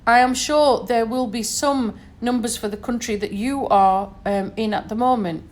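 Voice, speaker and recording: A woman's voice: a little high, normal speed. A woman from Sheffield with a northern English accent, talking informally as a solo podcast host in an earnest, emphatic way. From Sheffield, northern England. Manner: earnest, emphatic, often checks with "okay?" and "you know".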